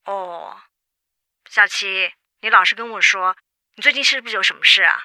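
A woman's voice: mid-range voice, normal speed, sharp tone, dry recording. An actress playing a worried young female best friend, insistent and suspicious, in a radio drama.

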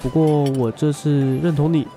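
A man's voice: a little high, fairly even intonation, normal speed, mellow, close to an everyday voice. A man with a Taiwanese Mandarin accent playing a chatty, jokey young foodie friend in a podcast radio drama. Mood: bantering.

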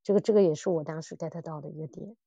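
A woman's voice: sits low, fast, vocal fry, mellow, no echo, lo-fi sound. A woman hosting a podcast in casual chat, often repeating words and restarting as she explains. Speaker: podcast host. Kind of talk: casual chat reviewing audio dramas.

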